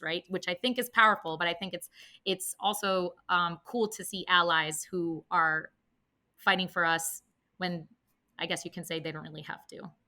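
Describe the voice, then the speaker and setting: A woman's voice: on the low side, sharp tone. A woman talking conversationally as a panelist and advocate in a discussion. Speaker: panelist, women veterans advocate.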